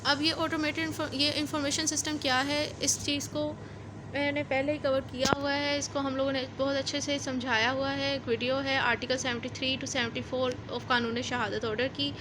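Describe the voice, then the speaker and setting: A woman's voice: high voice, little pitch movement, noisy background. A woman with a Pakistani accent gives an explanatory law lecture, going over points informally and repeating them as a teacher does. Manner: reads formal text slowly, then explains informally with repetition.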